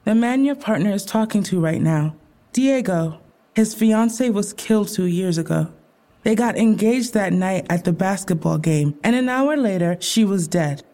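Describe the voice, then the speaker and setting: Actress as guarded, mellow-voiced woman therapist. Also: medium pitch, lively intonation, moderate pace, lightly acted. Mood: indignant, protective. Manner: confrontational, terse, guarded. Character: protective, indignant woman leading a trauma therapy group. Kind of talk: naturalistic audio drama dialogue.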